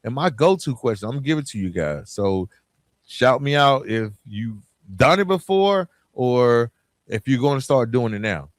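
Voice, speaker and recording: A man's voice: medium pitch, expressive, moderate pace, no echo, studio quality. A middle-aged American man giving a motivational solo podcast talk, speaking colloquially and directly to listeners with emphatic repetition and rhetorical questions. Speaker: podcast host.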